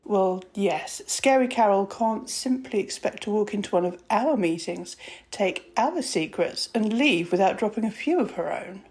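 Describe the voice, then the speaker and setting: A woman acts out a composed woman doctor in an audio drama. Her voice sits at a middle pitch, and her phrasing is proper and measured. She comes across as caring, with a wry, teasing edge. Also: lively intonation, a little mellow, close to an everyday voice.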